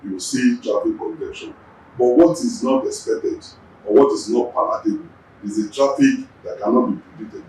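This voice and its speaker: A Yoruba-speaking Nigerian man, a traffic agency's general manager, giving measured interview answers and often repeating words as he gathers his thoughts. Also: medium pitch, a little mellow, far from the mic. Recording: echoey room, voice off-mic.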